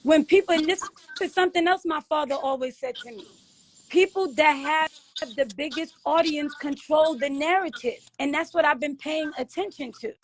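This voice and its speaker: A woman's voice: high-pitched, moderate pace, rough recording. A woman whose first language is Arabic, a guest on a livestream, explaining her views earnestly and at length. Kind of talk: casual livestream talk.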